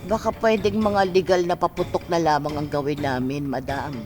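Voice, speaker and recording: A woman's voice: low-pitched, fairly even intonation, moderate pace, darkish tone, soft background music, close to an everyday voice. A woman playing a timid, conscience-stricken worker in a radio drama, hesitant and deferential. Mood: anxious.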